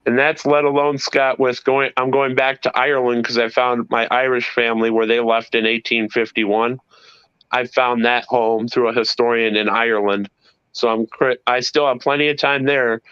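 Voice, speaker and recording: A man's voice: mid-range voice, little pitch movement, normal speed, smooth, dry recording, dull audio. A man with a North Carolina accent chatting casually on a livestream, telling long eager stories about his travel plans.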